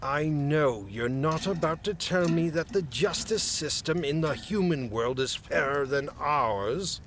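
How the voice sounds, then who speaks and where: A man playing an exasperated haughty fae official, animated. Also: a little high, measured pace. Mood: stern, formal. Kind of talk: fantasy comedy audio drama acting.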